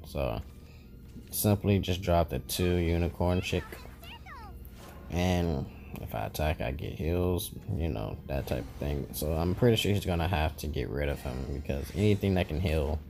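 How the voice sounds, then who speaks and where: Gaming YouTuber, male, deep dark voice, laid-back rambling commentary. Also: moderate pace, gravelly, slight background noise. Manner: relaxed, rambling, self-correcting. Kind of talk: casual gameplay commentary.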